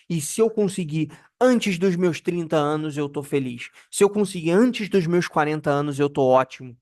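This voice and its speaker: A man in his early thirties from Brazil talking straight to camera about personal finance, candid and self-deprecating, often stopping to search for words. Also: a little mellow. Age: 30s. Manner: pitch rises and falls widely for emphasis. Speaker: personal finance video host. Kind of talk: casual monologue to camera.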